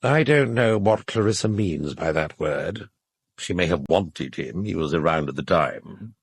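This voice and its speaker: An actor playing an urbane, cynical literary critic in an audio drama, speaking in a dry, articulate, knowing way. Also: male voice, deep voice, expressive, measured pace, husky, no room sound, exaggerated voice. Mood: worldly, amused. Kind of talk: naturalistic audio drama dialogue.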